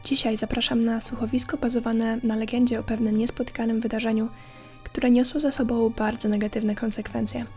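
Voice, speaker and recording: A woman narrating a scripted horror story as a podcast host, in a measured, hushed, suspenseful way. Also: a little high, little pitch movement. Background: faint music.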